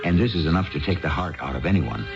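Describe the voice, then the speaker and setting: A man hosting and narrating a 1970s radio drama in a deliberate, theatrical, musing way. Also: extremely low pitch, lively intonation, creaky, heavily acted. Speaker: host and narrator. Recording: dull lo-fi 1970s radio broadcast. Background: dramatic music.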